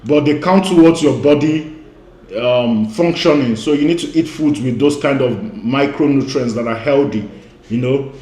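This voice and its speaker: A man's voice: medium pitch, moderate pace, dark tone, echoey room. A man who grew up speaking Igbo, giving an emphatic online lecture as a weight-loss coach. He repeats his key points and often says "you know".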